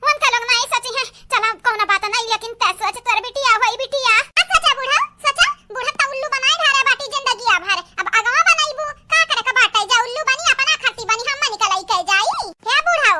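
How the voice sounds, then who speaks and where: A woman voicing squabbling, melodramatic village women in a homemade comedy cartoon, with an eastern Uttar Pradesh accent, scolding and exclaiming through a pitched-up effect. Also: bright, forceful tone, full character voice.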